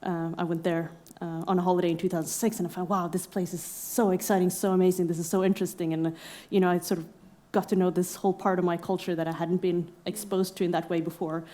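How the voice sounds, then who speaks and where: A young woman from Norway talks through her story in a relaxed podcast conversation. Her voice sits slightly low, and she pauses with frequent fillers and laughs along the way. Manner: reflective, many fillers, laughs often. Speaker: exhibiting artist guest.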